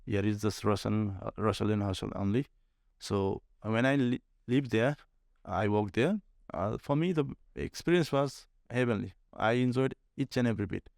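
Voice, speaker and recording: Male podcast guest, Nepali accent, low-pitched, explanatory, rephrases often, very dry room sound. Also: moderate pace, gravelly, darkish tone, no echo. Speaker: air traffic controller, podcast guest. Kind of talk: conversational interview answers.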